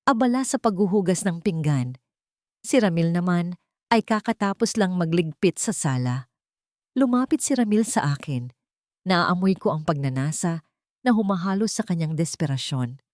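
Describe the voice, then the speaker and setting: Low-voiced Filipino woman narrating drama. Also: darkish tone, no room sound, clean studio sound. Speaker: narrator of an audio love-and-revenge drama. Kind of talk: scripted first-person story narration.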